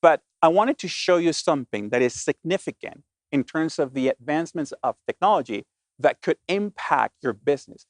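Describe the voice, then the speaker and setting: A man's voice: a little high, lively intonation, fast, no echo. Man giving a keynote. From Colombia. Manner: earnest, many restarts and filler words. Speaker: conference speaker. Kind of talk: business keynote talk.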